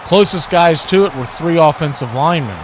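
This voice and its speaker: Higher-voiced man calling football play-by-play. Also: a little fast. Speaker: live sports play-by-play commentator. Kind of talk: football radio-style play-by-play commentary with casual asides. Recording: steady background noise.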